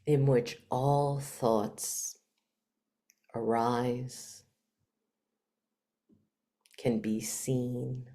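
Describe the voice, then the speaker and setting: A woman with a very deep voice guides a meditation at an extremely slow pace. Her tone is dark and mellow, and she speaks in hushed, short phrases, leaving long pauses between them. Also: steady tone. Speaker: meditation teacher. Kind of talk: guided meditation, spiritual talk.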